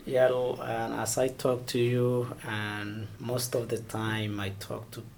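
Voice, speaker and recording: A man from Somalia, answering interview questions haltingly and searching for words. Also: mid-range voice, monotone, creaky, slight background noise. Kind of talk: oral history interview.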